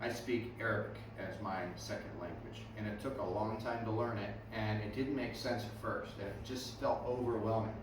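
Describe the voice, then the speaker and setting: A man's voice: fairly low pitch, fairly even intonation, normal speed, few pauses. A man teaching a music theory workshop to students, often quizzing them and checking in with "right?" and "okay". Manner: friendly questioning of students, frequent "right?" and "okay". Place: music classroom. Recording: echoey room, distant mic, background noise.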